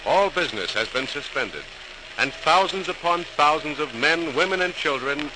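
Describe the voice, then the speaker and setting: A man's voice: fairly high pitch, animated, normal speed. A man narrating a scripted 1940s radio program as announcer, formal and dramatic. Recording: noisy old radio transcription.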